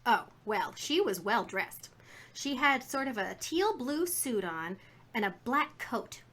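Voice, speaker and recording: A woman's voice: a little high, big pitch swings, slow pace, fairly bright tone, exaggerated voice. An actress playing a chatty, indignant, folksy woman shopkeeper in a 1950s radio drama. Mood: reminiscing. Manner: folksy, garrulous, emphatic.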